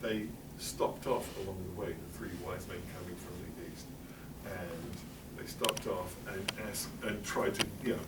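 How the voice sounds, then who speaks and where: English male presenter, middle-aged, dry humour, low-ish, heard off-mic in a roomy hall. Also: fairly even intonation, measured pace, few pauses, recorded from a distance, very noisy. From England. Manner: chatty, wry, many fillers and laughs. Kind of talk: illustrated library talk. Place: public library meeting room.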